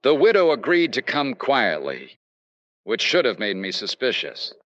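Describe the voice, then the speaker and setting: Man playing a lively, wry radio detective. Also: mid-range voice, measured pace, no echo, dull audio. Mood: wry narration. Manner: polite, firm, wry. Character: confident male insurance investigator, wry hardboiled narrator. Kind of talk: old-time radio detective acting and narration.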